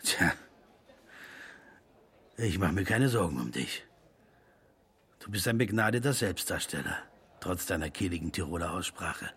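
An actor playing a worldly film producer who talks as a loyal, urging friend in a radio play. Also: male voice, low voice, lively intonation, a little slow, pauses often, fairly bright tone, breathy edge, exaggerated voice. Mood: urging, concerned.

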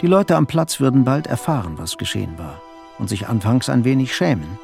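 A man's voice: mid-range voice, big pitch swings, a little fast, vocal fry, a little mellow, faint music behind. A man narrating a literary crime story as the grave narrator of a radio drama. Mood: grave, measured.

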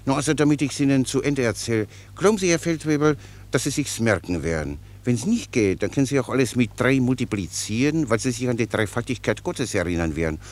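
An actor in a German radio play, playing a cheerful, long-winded common soldier who explains things pedantically with naive obedience. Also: male voice, mid-range voice, animated, fairly quick, lightly acted. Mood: cheerfully persistent. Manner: chatty, rambling, pedantically explanatory.

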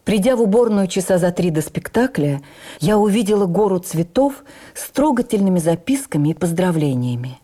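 A woman reading a memoir for radio as an actress, with measured, expressive, theatrical storytelling. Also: fairly low pitch.

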